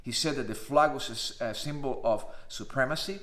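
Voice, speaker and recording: A man's voice: mid-range voice, brisk, a bit bright. Earnest Cuban-accented man in his fifties. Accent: Cuban Spanish. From Cuba. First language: Spanish. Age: 50s. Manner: heartfelt and emphatic, with frequent "uh" fillers. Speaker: pastor. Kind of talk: earnest personal testimony to camera. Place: indoors, in front of a flag.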